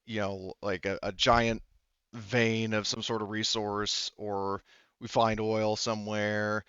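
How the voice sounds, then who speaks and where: A man game-mastering a tabletop roleplaying game, informally relaying a character's answers in a halting way, rephrasing as he goes. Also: fairly low pitch, level tone, fairly bright tone, smooth, no room sound, muffled recording.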